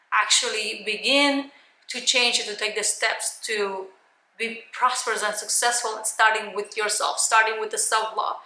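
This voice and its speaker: Woman, very bright forceful voice, highish pitch, emphatic and blunt, motivational coach, roomy echo. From Cuban. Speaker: life coach, video host. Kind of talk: motivational coaching talk to camera.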